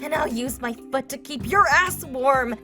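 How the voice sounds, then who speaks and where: A woman's voice: high-pitched, moderate pace, over music, lightly acted. A woman voicing a brash, grumbling teenage schoolgirl with a tomboyish, sarcastic manner in an anime fan dub. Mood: defiant. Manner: blunt, sarcastic, complaining. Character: brash, grumbling boy in a teenage girl's body.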